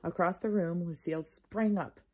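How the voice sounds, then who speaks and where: A woman's voice: fairly low pitch, moderate pace, warm tone, slightly rough. A woman reading a children's storybook aloud expressively and explaining things to young listeners. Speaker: storybook reader.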